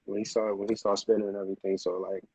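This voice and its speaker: A man's voice: fairly low pitch, level tone, very fast, a little mellow, breathy edge, no room sound, rough recording. An American man chatting casually as an interview guest, with slangy phrasing and a habit of repeating himself. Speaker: music producer, interview guest.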